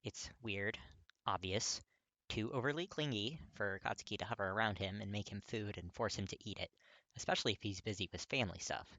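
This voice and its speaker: A narrator reading fiction aloud in an expressive, emphatic audiobook style. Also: low-pitched, a little fast, no echo. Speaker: podfic narrator.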